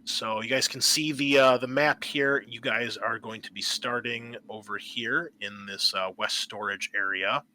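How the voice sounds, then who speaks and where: A man working as game master, narrating a tabletop roleplaying scenario with measured, storyteller-like scene-setting. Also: medium pitch, fairly even intonation, pushed and bright, a little hiss or hum.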